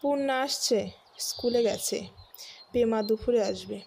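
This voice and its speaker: Stern, higher-voiced village mother. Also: unhurried, gravelly, a little mellow, slight background noise. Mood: brisk, practical. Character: stern, caring middle-aged village mother in a cartoon drama. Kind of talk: cartoon drama voice acting. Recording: music behind the voice. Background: music.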